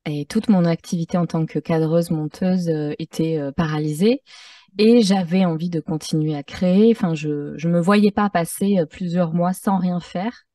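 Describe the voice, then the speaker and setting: A thoughtful woman guest on a podcast, her mellow voice picked up a little away from the microphone in a dry room. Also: lowish voice, moderate pace, dry recording, recorded from a distance. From Mexico-born, French-Mexican. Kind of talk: conversational interview.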